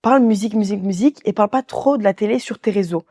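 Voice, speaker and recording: Woman, 20s. Talkative interview guest, rapid casual delivery, relaxed warm timbre. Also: mid-range voice. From Belgium. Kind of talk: casual chat, anecdotal storytelling.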